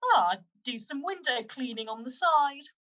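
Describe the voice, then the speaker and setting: A woman's voice: high voice, animated, normal speed, fairly bright tone, lo-fi sound. A woman playing a cheeky, envious young daughter in a radio pantomime, with a chatty comic delivery.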